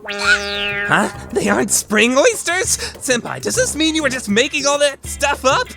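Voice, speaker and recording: A woman's voice: sits high, animated, unhurried, sharp tone, full character voice. A woman voicing a blunt, prim, skeptical teenage schoolgirl in an anime fan dub. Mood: skeptical, snippy. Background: background music.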